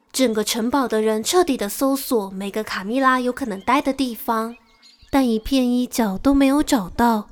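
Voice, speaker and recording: A woman acts out an anxious young girl telling her gothic story. The voice is very high and full of devotion, in radio-drama style, with music clearly playing underneath. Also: lightly acted. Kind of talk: Mandarin radio drama acting and narration.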